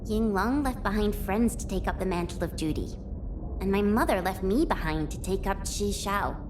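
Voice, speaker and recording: Game heroine female actor: eager young swordswoman, chatty and bubbly, very lively intonation, music underneath. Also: mid-range voice, close to an everyday voice. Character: cheerful, eager young swordswoman, warm and playful. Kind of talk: video game character voice lines.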